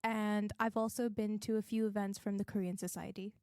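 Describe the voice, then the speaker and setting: A young woman from Pakistan, a student guest, speaking in a measured, steady way. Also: mid-range voice, no room sound, studio quality. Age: young adult. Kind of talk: casual chat.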